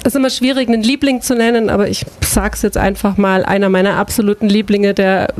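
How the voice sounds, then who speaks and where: A woman's voice: medium pitch, steady tone, fast, darkish tone. Articulate enthusiastic woman, radio guest. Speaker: venue event organiser, guest. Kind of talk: casual radio interview.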